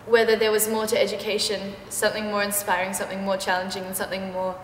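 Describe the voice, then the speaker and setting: A woman's voice: medium pitch, completely flat delivery, quick pace, slight background noise. Thoughtful young woman in an echoey hall. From New Zealand. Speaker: student. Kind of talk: short testimonial snippets.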